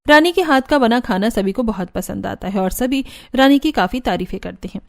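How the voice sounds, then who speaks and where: A woman's voice: a little high, lively intonation, brisk, creaky. A woman giving scripted narration for a cartoon family story.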